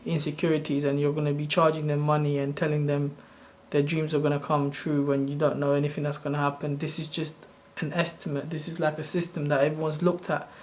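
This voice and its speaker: A soft-spoken man in his thirties, originally from Sri Lanka, casually walking through his own screen in a loose, rambling way. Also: fairly high pitch, monotone, brisk, hardly any pauses, slight background noise. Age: 30s. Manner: rambling, loose and self-correcting. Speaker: channel host. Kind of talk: casual screen-share walkthrough.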